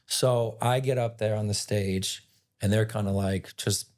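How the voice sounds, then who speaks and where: Raspy-voiced male actor, chatty guest spinning a story, loose and animated. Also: fairly low pitch, unhurried. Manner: animated, rambling retelling with many "like"s. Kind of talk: casual storytelling interview.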